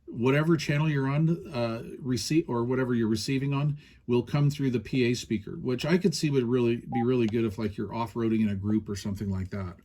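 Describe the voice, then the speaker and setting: A man's voice: medium pitch, fairly even intonation, a little fast, warm tone. A man giving a casual hands-on product demonstration as a reviewer, explaining things in a conversational way.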